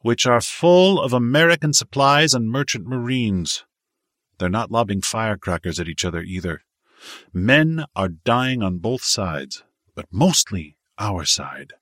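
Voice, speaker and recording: A man voicing a brash, domineering wealthy businessman in an audiobook, forceful and cocksure. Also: fairly low pitch, lively intonation, measured pace, dry recording, clean studio sound, voice pushed up. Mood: combative, confident.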